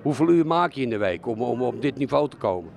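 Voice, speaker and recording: A man's voice: medium pitch, animated, fast talker, vocal fry, darkish tone. A male reporter asking questions in a chatty, encouraging way inside a bowling centre. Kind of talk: casual interview questions.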